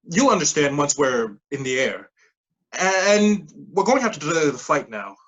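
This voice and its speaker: An actor as a smooth-talking recruiter, slightly husky, mid pitch, insistent, evasive, clipped phrases, staged play reading. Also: big pitch swings, normal speed, a bit bright, lo-fi sound. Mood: urgent, evasive. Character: smooth-talking, pushy pyramid-scheme recruiter mentoring a junior coworker.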